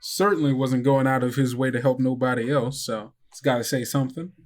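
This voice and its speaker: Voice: mid-range voice, fairly even intonation, a little fast, a little mellow, natural voice. A game master playing a friendly, folksy woman driver with a plain-spoken, teasing manner. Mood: wry, knowing.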